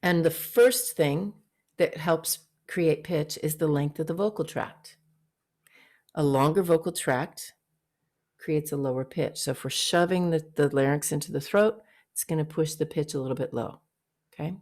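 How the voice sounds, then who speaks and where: A woman vocal coach with a fairly low voice, teaching an online singing master class and breaking off to sing short demonstration notes. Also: moderate pace, darkish tone. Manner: explains briefly, then sings short demonstration notes. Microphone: video call.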